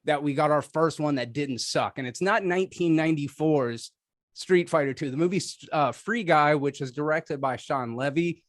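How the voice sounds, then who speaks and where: A man's voice: a little high, moderate pace, dry recording, clean studio sound. A man making a casual, off-the-cuff debate argument with a sarcastic, wry manner. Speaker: debate contestant, film podcaster.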